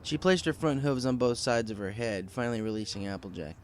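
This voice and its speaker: Guy narrating a fan fiction story, medium pitch, reading from a script. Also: expressive, fairly quick, slight background noise.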